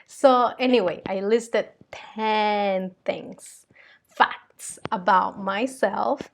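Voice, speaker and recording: A woman in her thirties from Colombia with a Spanish accent, talking casually and haltingly to her vlog audience with many fillers. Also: mid-range voice, vocal fry, a little hiss or hum. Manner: soft-spoken, halting, with frequent fillers and little laughs. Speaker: spiritual vlogger. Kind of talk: casual vlog talk to camera.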